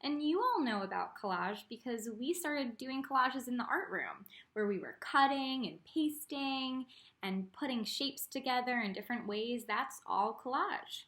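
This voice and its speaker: Female art teacher for a children's read-aloud: fairly high voice, expressive storytelling, speaks straight to the kids. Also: unhurried. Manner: expressive reading, addresses young listeners directly. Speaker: art teacher reading a picture book aloud.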